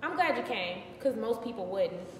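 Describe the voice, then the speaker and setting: A woman's voice: fairly high pitch, lively intonation, normal speed, fairly bright tone. A young woman performing a live theatrical monologue as an indignant, wry biblical queen, conversational and emphatic. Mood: wry, defiant. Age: early 20s. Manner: conversational, sassy, emphatic, exasperated. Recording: distant mic, echoey hall, faint noise.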